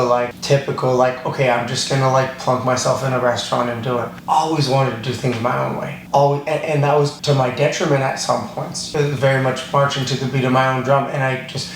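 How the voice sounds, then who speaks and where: A man in his thirties from Canada, a chef telling his story in a casual podcast chat with lots of fillers. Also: mid-range voice. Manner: relaxed, rambling storytelling with many fillers. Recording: echoey room, faint ambient noise.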